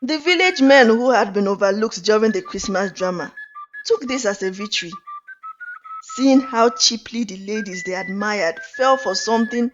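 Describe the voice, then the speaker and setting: A woman telling a folktale in an expressive, deliberate, dramatic narration. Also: medium pitch. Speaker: storyteller. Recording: dull lo-fi audio under music. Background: clear flute music.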